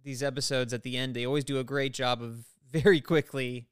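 A man with a smooth, resonant voice and an average pitch talks as a podcast co-host. He is enthusiastic and casual, and the sound is dry and close. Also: steady tone, brisk, no room sound. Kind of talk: casual pop-culture discussion.

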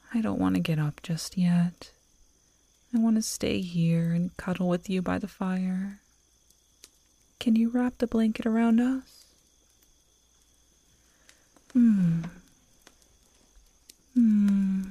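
A woman playing an affectionate, playful girlfriend in a soft, intimate ASMR audio roleplay, close to the microphone. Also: mid-range voice, no echo. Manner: intimate, teasing, slow and cosy. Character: affectionate, playful werewolf girlfriend on a camping trip. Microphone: close microphone, dry.

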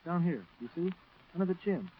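An actor playing a boyish, earnest, self-conscious young Marine in a 1940s radio drama. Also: male voice, high voice, lively intonation, a little fast. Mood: boyish, eager. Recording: muffled old radio recording, faint noise.

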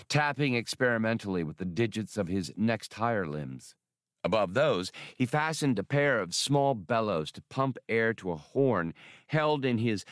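A man's voice: on the low side, a bit bright, dry recording, studio quality. A man reading scripted adventure fiction as an audiobook narrator. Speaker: audiobook reader.

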